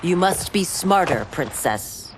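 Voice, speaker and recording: A woman's voice: fairly low pitch, expressive, slow, very noisy. A woman playing a proud, loyal exiled guardswoman in a video game, speaking formally and with resolve. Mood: firm, urgent. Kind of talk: dramatic game cutscene acting. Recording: music behind the voice.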